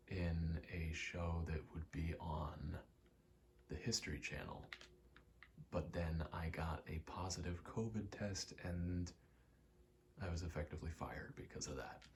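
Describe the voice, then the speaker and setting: Deep, gravelly young American man rambling. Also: fairly even intonation, measured pace, a little hiss or hum. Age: 20s. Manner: candid, casually profane. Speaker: ASMR rambler and aspiring actor. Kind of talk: rambling ASMR, casual personal chat.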